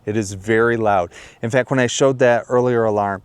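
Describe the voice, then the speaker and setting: A man with a fairly low voice presents a casual review as a video host. He speaks in a plainspoken, explanatory way, like someone walking a viewer through gear he uses. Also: steady tone, moderate pace, clear, resonant tone, no echo. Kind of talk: casual product review to camera.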